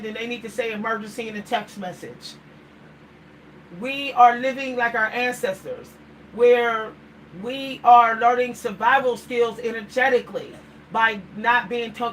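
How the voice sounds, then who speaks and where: A woman's voice: fairly high pitch, a bit bright. A middle-aged woman with a Pittsburgh accent, talking casually to her livestream viewers in a rambling way and often repeating words. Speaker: vlogger. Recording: distant mic, faint background noise.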